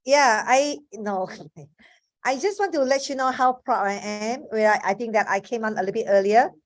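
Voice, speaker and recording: A woman's voice: fairly high pitch, normal speed. Malaysian woman in her fifties, earnest. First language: Chinese. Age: 50s. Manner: earnest, restarts often. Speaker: webinar speaker. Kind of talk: motivational talk. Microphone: video call.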